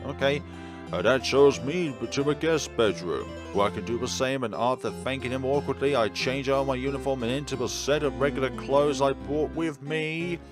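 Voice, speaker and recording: A man reading a visual novel aloud as a let's player, mixing narration with casual asides and sometimes tripping over words and correcting himself. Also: mid-range voice. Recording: music playing behind the voice. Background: game music.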